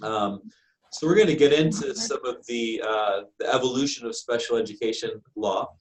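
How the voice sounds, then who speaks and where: An American man lecturing to a class as a university instructor, in a halting way padded with frequent filler phrases. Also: medium pitch, poor audio. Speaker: university lecturer in special education.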